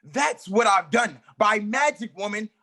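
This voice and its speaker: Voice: medium pitch, animated, a little slow, bright, forceful tone, heavily acted. A performer reading a male wandering magician in a play table read, stammering and theatrical. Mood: defiant. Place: live reading at an art exhibition.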